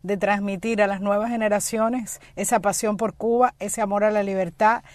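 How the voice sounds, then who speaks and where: A woman's voice: medium pitch, steady tone, normal speed, darkish tone, no echo. A middle-aged woman co-hosting a talk show, often chiming in to agree. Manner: affirming, chimes in often. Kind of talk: casual studio talk.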